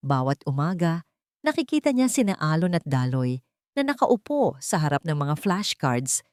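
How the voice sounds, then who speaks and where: Filipino lady audiobook narrator, dramatic story reading, lots of pitch swing, super dry sound. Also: sing-song, moderate pace, darkish tone, no echo.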